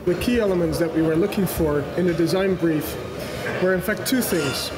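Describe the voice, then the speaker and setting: High-pitched man interviewee in echoey room. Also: moderate pace. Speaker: head of design, interviewee. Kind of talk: interview answers. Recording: echoey room, music underneath. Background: music.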